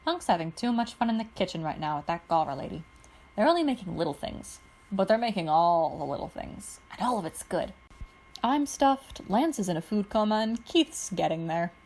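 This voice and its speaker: A woman's voice: medium pitch, sing-song, normal speed, a little mellow. A woman reading aloud, voicing a playful, well-fed young man who chats in a joking way.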